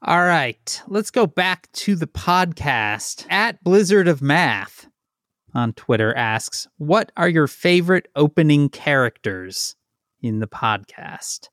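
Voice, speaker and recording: A man's voice: fairly high pitch, lively intonation, fairly bright tone, no room sound, clean studio sound. A man co-hosting a podcast, chatting casually and reading listener questions aloud. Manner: slow, easygoing, reads listener questions aloud. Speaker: podcast co-host. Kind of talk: casual chat.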